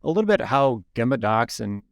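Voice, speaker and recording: A man's voice: mid-range voice, big pitch swings, fairly quick, vocal fry, no room sound. A man hosting a podcast in an enthusiastic, upbeat way, both chatting and reading promos. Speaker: podcast host.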